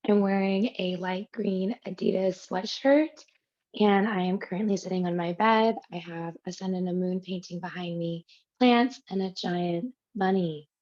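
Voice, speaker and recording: A woman's voice: on the low side, measured pace, darkish tone. Woman on dull lo-fi video call. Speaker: instructional support specialist. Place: bedroom, sitting on bed.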